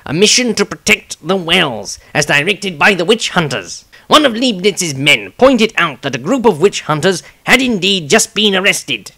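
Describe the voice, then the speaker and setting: A man's voice: high-pitched, sing-song, moderate pace, few pauses, sharp tone, heavily acted. A man voicing an earnest, aggrieved adventurer, reading his diary as scripted fantasy narration. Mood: earnest, tense.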